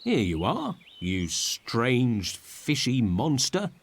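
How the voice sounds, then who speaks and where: A man voicing a bold, wine-drinking castaway in a storybook, with drawn-out exclamations. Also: mid-range voice, wildly swooping pitch, slight background noise, exaggerated voice. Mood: generous. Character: bold wine-drinking male castaway who wants to be king. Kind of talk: storybook character voice.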